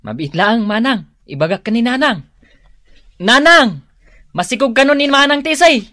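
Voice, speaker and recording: A woman's voice: on the high side, lively intonation, moderate pace, pushed and bright, no echo, studio quality. A woman playing a chatty, reassuring younger sister and daughter in an Ilocano radio drama. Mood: surprised.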